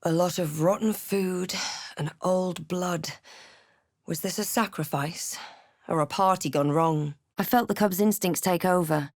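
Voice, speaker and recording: Female fantasy game adventurer, slightly low voice, close dry recording, measured, wry, observant. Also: darkish tone, dry recording. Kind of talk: scripted game character lines and narration.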